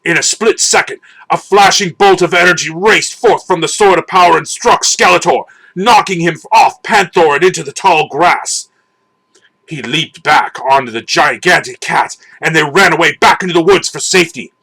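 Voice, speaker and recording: A man giving a dramatic, theatrical and deliberate scripted narration of a children's storybook. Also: fairly high pitch, sharp tone, poor audio.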